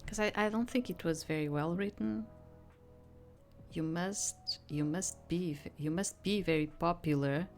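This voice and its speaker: A woman's voice: lowish voice, unhurried, a little mellow. A woman streaming a visual novel, reading the game text aloud and breaking off into rambling, improvised commentary. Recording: game music behind the voice.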